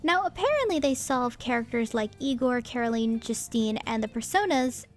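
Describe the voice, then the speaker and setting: A woman's voice: a little high, expressive, moderate pace, faint music behind. A young woman hosting a casual video essay, talking in a chatty, candid way with wry asides. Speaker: anime commentary host.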